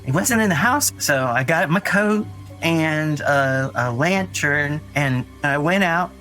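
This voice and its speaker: An actor playing an earnest, anxious young boy who tells his story in a rambling, halting way in an audio drama. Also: fairly high pitch, expressive, sharp tone, heavily acted. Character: Dougie, frightened earnest young boy recounting his brother's disappearance. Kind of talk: naturalistic audio drama acting. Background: music under the voice.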